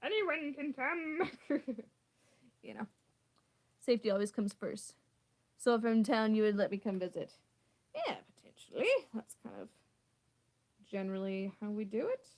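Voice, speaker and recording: A woman's voice: fairly high pitch, lively intonation, normal speed, long pauses, darkish tone. A young woman from the west coast of Canada with a West Coast Canadian accent, chatting casually and laughing often, her sentences rising at the end. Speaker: host. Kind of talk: casual chat.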